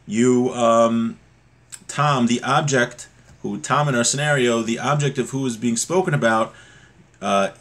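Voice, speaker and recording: A man in his thirties teaches religious law informally, explaining texts in a halting, repetitive way, recorded on a computer mic in a small room at home. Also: medium pitch, a bit bright. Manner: halting, explanatory, repeats points. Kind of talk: informal text study, reading Hebrew passages and explaining them.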